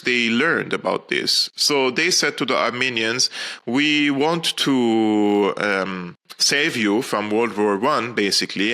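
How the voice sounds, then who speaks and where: A man born and raised in Syria speaks with a strikingly bright, forceful tone. He sits as a podcast guest and explains at length. He talks earnestly, often restarting his sentences. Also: medium pitch. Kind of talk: interview answers.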